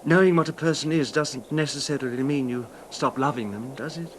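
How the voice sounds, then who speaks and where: An actor playing an emotionally fragile, apologetic husband who hesitates and stammers in a 1970s radio drama. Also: male voice, mid-range voice, fairly quick, close to an everyday voice. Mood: wistful, reflective. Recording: noisy 1970s radio broadcast recording.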